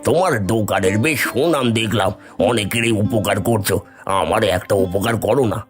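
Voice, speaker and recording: A man's voice: on the low side, moderate pace, soft background music, close to an everyday voice. A man playing a greedy, scheming village brother in a cartoon, with a sly, domineering manner. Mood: sly, wheedling. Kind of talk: cartoon dialogue acting.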